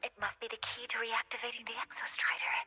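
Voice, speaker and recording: A woman playing a studious, formal researcher in a video game, precise and deliberate. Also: fairly high pitch, completely flat delivery, sharp tone, husky, faint music behind, heavily acted. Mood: thoughtful.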